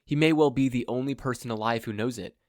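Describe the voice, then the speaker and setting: Reader acting male spirit, teasing, dramatic pauses, medium pitch, dry recording. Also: darkish tone, no room sound, natural voice. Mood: teasing, mysterious.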